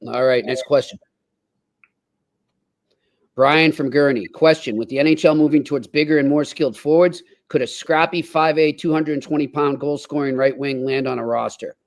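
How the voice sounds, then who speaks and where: A man hosts a sports podcast. His voice is a little higher than average for a man. He chats casually as he moves the show along from question to question. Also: steady tone, moderate pace. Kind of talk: casual chat, reading fan questions.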